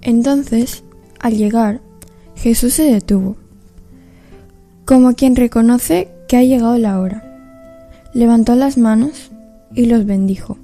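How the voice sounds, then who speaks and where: Very mellow woman storyteller. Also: a little high, takes it slowly, pauses often, soft background music. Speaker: story narrator. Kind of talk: scripted storytelling narration for a radio play.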